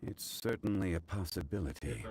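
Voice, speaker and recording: An actor playing a calm, learned deity in human guise for a video game, speaking in a measured, formal way. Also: male voice, deep voice, a crowd in the background, close to an everyday voice. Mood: measured deduction. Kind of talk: English video game voice acting.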